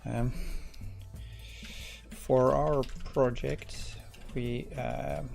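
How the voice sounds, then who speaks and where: A middle-aged man with a Dutch accent explains code on a live stream at a slow, unhurried pace. His voice is gravelly, and he stops often with fillers and restarts. Faint music plays behind him at his desk. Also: medium pitch. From Netherlands. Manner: relaxed, hesitant with many fillers and restarts. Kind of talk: live coding stream, thinking aloud.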